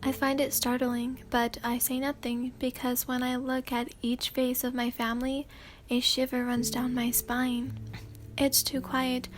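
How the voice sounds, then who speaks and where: A woman hosting a sleepy ASMR channel, reading fan fiction aloud in a soft, hesitant voice with many fillers and throat clears. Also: high-pitched, monotone, measured pace.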